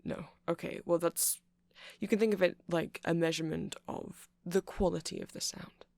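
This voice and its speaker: A man playing a nerdy male vampire in an ASMR roleplay, rambling haltingly and passionately to a listener as he explains things. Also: a little high, steady tone, gravelly, darkish tone, no room sound. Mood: earnest, nerdy.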